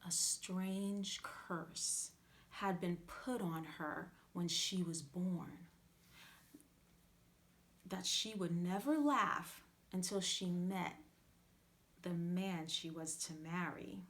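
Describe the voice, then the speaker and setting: A woman reading a fairytale aloud as a children's storyteller, with theatrical, drawn-out emphasis. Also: lowish voice, fairly bright tone. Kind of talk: scripted fairytale narration for children.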